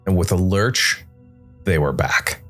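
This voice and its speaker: Deep-voiced man narrating over soft music. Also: slow pace. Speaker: narrator and podcast host. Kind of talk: scripted story narration. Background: soft music bed.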